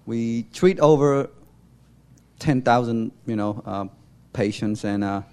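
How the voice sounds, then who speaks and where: A young man from Cambodia giving an informal, halting church testimony as a mission volunteer, often searching for words. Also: medium pitch, a little mellow, clean tone.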